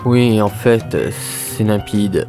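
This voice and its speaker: A man playing an exasperated, self-assured police inspector in a comedic audio drama, with a weary, deadpan delivery. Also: on the low side, slow pace. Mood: self-assured, explaining. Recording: music under the voice.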